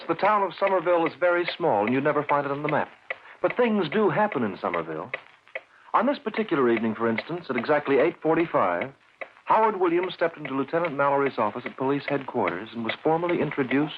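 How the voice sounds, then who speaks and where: A man's voice: on the high side, lively intonation, quick pace, lightly acted. A man as an ominous radio narrator. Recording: noisy muffled old radio recording.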